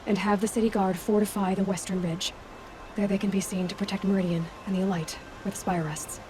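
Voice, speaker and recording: An actress playing a determined, earnest young heroine in a video game, speaking with urgency. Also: female voice, medium pitch, fairly even intonation, brisk, noisy background, natural voice. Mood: urgent, resolute. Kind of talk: dramatic video game dialogue.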